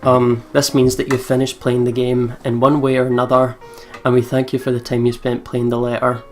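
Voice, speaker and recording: Expressive young woman hosting a game playthrough. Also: extremely low pitch, a little fast, soft background music. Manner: expressive, sweary, exclaiming. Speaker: let's play host. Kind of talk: game commentary, casual chat.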